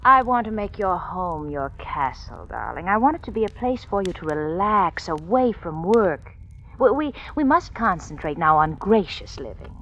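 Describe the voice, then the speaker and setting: An actress playing a bossy, falsely sweet social-climbing wife in a 1940s radio drama, gushing and affected. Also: female voice, on the low side, sing-song, normal speed, a bit bright. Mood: coolly controlling. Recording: noisy, muffled 1940s radio recording.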